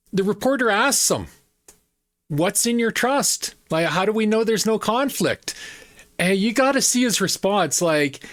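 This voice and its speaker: A host giving opinionated political commentary in an emphatic, repetitive and scornful manner. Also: medium pitch.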